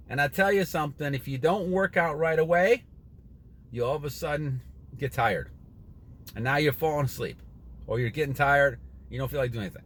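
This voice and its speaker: A man in his sixties giving a casual motivational monologue to his viewers, rambling and earnest, often repeating himself and clearing his throat. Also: a little high, a bit bright, a little hiss or hum. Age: 60s. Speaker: channel host.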